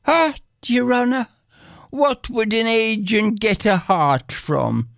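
A man voicing a poor, excitable, defiant Irish peasant farmer in a storybook tale, with exclamatory rustic phrasing. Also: a little high, slow, dry recording, clean studio sound, voice pushed up, full character voice. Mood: bitter.

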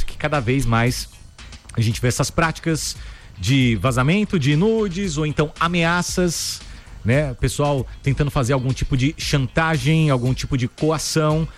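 Man, radio news co-host, slightly high voice, brisk headline reading, faint music bed. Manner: brisk newsreader delivery with casual asides. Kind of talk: radio news bulletin chat.

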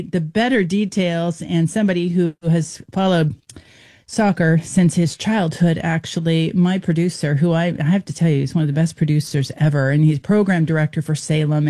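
A man hosting a radio show, lively and effusive as he talks with a guest. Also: fairly high pitch. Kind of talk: casual radio interview.

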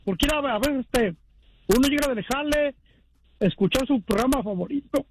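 A man's voice: extremely high pitch, expressive, normal speed. A man calling in to a radio sports show, talking in a folksy, apologetic way. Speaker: caller. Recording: noisy phone line.

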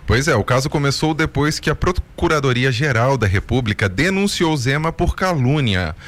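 A man's voice: medium pitch, lively intonation, moderate pace, fairly bright tone. A man co-hosting a radio show, chatting jokingly and listing facts with ease. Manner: joking, knowledgeable, playful. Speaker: radio co-host. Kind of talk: casual talk radio chat.

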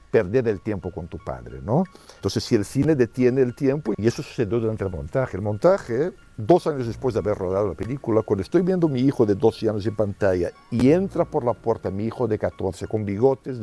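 Middle-aged man, mellow, reflective talker. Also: lowish voice, breathy edge. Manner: reflective, checks in with tag questions. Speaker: documentary filmmaker. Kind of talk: reflective interview talk.

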